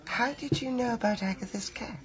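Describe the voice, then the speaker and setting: An actress playing a trusting, affectionate British village woman with a soft, hesitant manner in a radio drama. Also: on the high side, brisk, lo-fi sound, close to an everyday voice. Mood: anxious, pleading. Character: trusting, smitten village woman, gently anxious.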